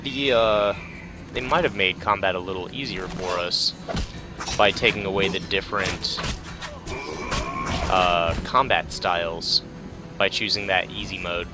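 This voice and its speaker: A man with a low voice gives casual let's play commentary. He is laid-back and thinks aloud, with game music clearly behind him. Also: unhurried, long pauses.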